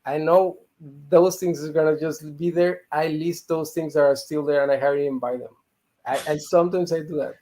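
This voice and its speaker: Frank man from Mexico, slightly high voice. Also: steady tone, moderate pace. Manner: frank, talks things through out loud. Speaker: guest reseller. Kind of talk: casual livestream chat.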